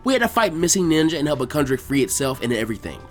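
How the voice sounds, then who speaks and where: A man's voice: a little high, sing-song, very fast, faint music behind. A man voicing a flippant, boastful young ninja boy in a story reading. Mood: smug. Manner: casual, cocky. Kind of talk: fan fiction character reading.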